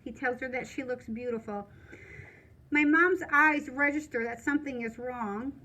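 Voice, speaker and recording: High-pitched woman reading a story aloud. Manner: expressive, pausing to explain to children. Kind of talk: read-aloud storytelling with explanatory asides.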